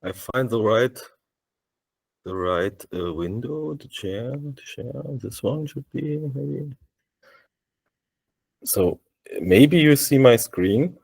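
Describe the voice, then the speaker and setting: A man with a German accent walks through a software demo over a video call. He talks in a hesitant way, full of fillers and restarts, in a dry, close sound with a middle pitch. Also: lively intonation, slow, vocal fry, pauses often, slightly rough, no room sound. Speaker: software developer presenting. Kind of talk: live screen-share demo on a video call.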